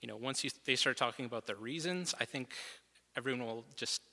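A man's voice: medium pitch, brisk, creaky, in a large hall. Methodical man explaining from notes. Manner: steady and methodical, some fillers. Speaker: guest presenter.

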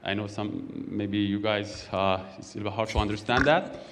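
A man's voice: lowish voice, normal speed, vocal fry. A man from Iran whose first language is Kurdish, speaking plain English with a Kurdish accent and many fillers as a guest on a church stage. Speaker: church leader. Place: large church hall. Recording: echoey church hall.

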